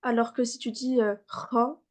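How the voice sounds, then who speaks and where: A woman's voice: on the high side, flat delivery, unhurried. A woman teaching a beginner class over a video call, patiently repeating sounds for her students, with frequent fillers. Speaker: Arabic reading teacher.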